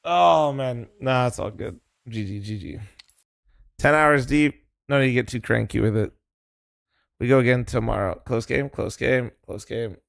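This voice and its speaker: A man's voice: medium pitch, moderate pace, vocal fry, dry recording, clean studio sound. A young man streaming a game, tired and losing his voice, swearing casually in a laid-back way. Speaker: streamer playing with teammates. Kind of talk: casual gaming stream chat.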